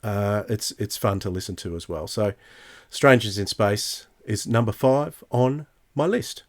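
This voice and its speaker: A man's voice: fairly low pitch, a little slow. A man hosting a podcast in a casual, conversational way. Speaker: podcast host. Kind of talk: casual chat.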